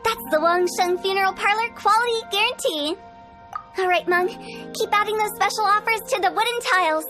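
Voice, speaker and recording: A woman voicing a mischievous young female funeral parlor director in a game, playful and teasing, with spooky jokes and a salesy pitch. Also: a little fast. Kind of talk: video game voice acting. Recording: game audio with faint music. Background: faint game music.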